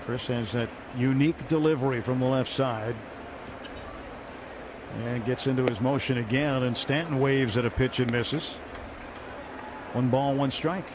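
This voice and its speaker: A man calling a baseball game on the radio as play-by-play announcer, brisk and lifting with excitement on big plays. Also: mid-range voice. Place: ballpark broadcast booth. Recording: muffled broadcast audio with crowd noise.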